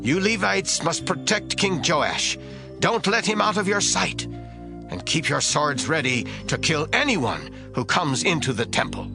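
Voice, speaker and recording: An actor playing a stern, resolute priest giving orders in a declamatory audio Bible drama style. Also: male voice, fairly high pitch, big pitch swings, unhurried, vocal fry, full character voice. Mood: urgent, commanding. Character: commanding priest rallying his men, stern and resolute. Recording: music bed behind voice.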